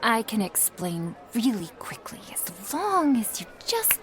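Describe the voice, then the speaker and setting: A woman playing a flustered, earnest young witch who reads tarot, in an ASMR roleplay with a halting manner. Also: fairly high pitch, sing-song, music in the background. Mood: excited, eager. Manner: halting, breathy asides, nervous little laughs and sighs. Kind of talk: ASMR roleplay acting, addressed to the listener.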